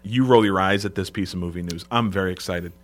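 A man with a booming, slightly froggy voice, co-hosting a casual podcast and eagerly sharing movie news. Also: fast talker. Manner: chatty, enthusiastic about film news. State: a little froggy. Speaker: podcast co-host and editor.

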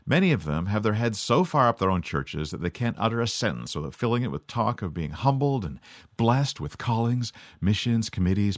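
Man, from Canada, sardonic, lively intonation, dry close recording. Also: on the low side, no echo. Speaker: podcast host. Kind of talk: scripted monologue.

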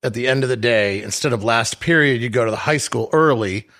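A man in his forties from the Boston area, a radio DJ, telling stories in a dry, self-deprecating way with halting restarts. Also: medium pitch, fairly bright tone. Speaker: radio DJ guest. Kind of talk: casual interview chat.

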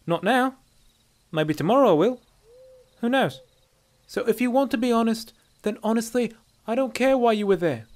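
A brash dark elf woman as a male narrator plays her, pitched well up. She is a sly fantasy bandit who taunts, bosses and threatens with sardonic confidence. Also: animated, normal speed, long pauses. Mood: bossy, taunting.

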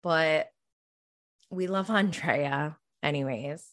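A woman's voice: deep voice, slow pace. A woman of about forty hosting a podcast, chatting casually on her own in a rambling way that often trails off. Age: around 40. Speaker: podcast host. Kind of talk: casual solo recap chat about reality TV.

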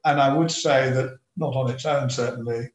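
A man's voice: medium pitch, fairly even intonation. Reflective older man in an echoey room. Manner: measured, reflective. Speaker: guest. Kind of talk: casual interview.

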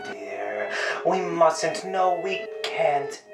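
Casting: man as scheming audio-drama doctor, very slow, lively pitch, music bed. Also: heavily acted. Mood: sly. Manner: drawling, theatrical, whispery asides. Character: scheming theatrical male doctor, sly and pompous. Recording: music underneath.

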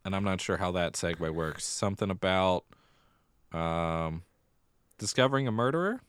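An American man with a low, smooth voice talks casually as a podcast co-host. His delivery is wry, and the close recording has very little room sound. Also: animated, normal speed, fairly bright tone, no room sound. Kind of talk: casual theatre news chat.

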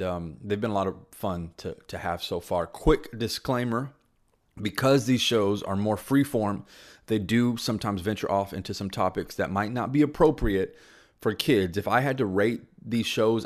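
Male podcast host, lower register, informal and conversational. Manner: informal, peppers speech with "bro". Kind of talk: casual, conversational podcast talk.

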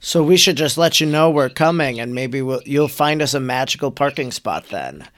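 A man's voice: mid-range voice, animated, moderate pace, a bit bright. A man hosting an interview, asking conversational questions and explaining things for listeners. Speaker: interviewer, show host.

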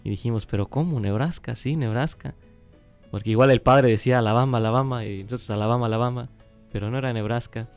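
A man's voice: medium pitch, quick pace, smooth, faint music behind. A man telling a chatty anecdote as part of a missionary testimony. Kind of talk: storytelling testimony.

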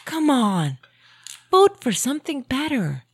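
A woman's voice: high-pitched, wildly swooping pitch, slow pace, breathy edge, no room sound. A woman whose first language is Croatian, chatting as a podcast guest in an earnest, self-deprecating way. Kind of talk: casual podcast conversation.

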